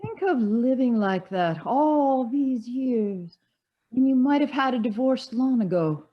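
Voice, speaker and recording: A society lady played by a woman chats in a high, very lively voice, sympathetic and gossipy. Her stage-play delivery is theatrical and emphatic, heard through dull lo-fi audio. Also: slow. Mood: curious, sympathetic. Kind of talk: one-act stage play performed on a video call.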